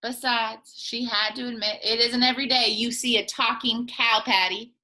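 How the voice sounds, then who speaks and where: A woman teacher reading a picture book aloud to young children, expressive and full of playful asides. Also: a little high, normal speed, rough recording. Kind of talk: children's storytime read-aloud.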